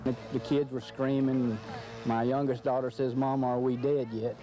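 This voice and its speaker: Man, dark relaxed timbre, medium pitch, plain interview talk. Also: moderate pace, a little hiss or hum, poor audio. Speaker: storm survivor interviewed for news. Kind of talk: plain eyewitness account.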